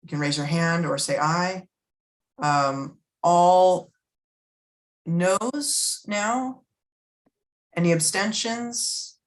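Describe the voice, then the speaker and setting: A woman's voice: on the low side, very slow, long pauses, low-quality recording. A woman chairing a public committee meeting, polite and procedural, with many thank-yous.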